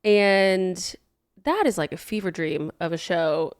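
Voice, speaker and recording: A woman co-hosts a podcast from a studio, talking casually and gushing with enthusiasm, quick to agree with her co-host. Her voice sits a little low. The close studio mic gives a dry sound with no room echo. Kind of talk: casual chat.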